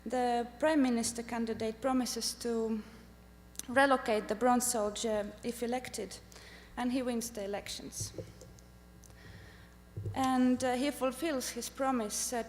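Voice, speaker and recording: A woman from Estonia, a native Estonian speaker, giving a conference presentation about her art research, speaking carefully with many pauses and "uh" fillers. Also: a little high, steady tone, darkish tone. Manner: careful, halting delivery with frequent "uh" pauses. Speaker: artist presenting at a summit. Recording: echoey hall with faint hum.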